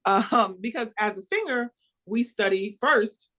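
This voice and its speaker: An American woman opera singer being interviewed, talking about her work in an earnest, warm and emphatic way. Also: on the high side, animated, a little slow. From United States.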